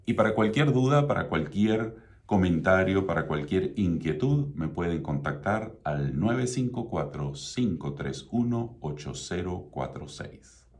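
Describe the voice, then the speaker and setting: A middle-aged Venezuelan man with an extremely deep voice hosts his own radio show. He speaks with a Venezuelan Spanish accent in a measured, promotional way. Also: expressive, a little mellow. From Venezuela (Mérida). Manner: measured, promotional, repeats contact details. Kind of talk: scripted radio talk show monologue.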